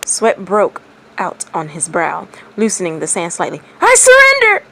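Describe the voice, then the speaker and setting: A woman reading fan fiction aloud as expressive story narration. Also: lowish voice, a little slow, vocal fry, a little hiss or hum, low-quality recording.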